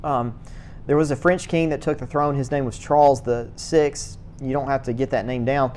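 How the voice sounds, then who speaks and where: Guy teaching a church history class, kinda stop-start with lots of uh, faint rumble in the back. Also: steady tone, darkish tone. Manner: halting, repeats words, many uh fillers. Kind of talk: classroom lecture.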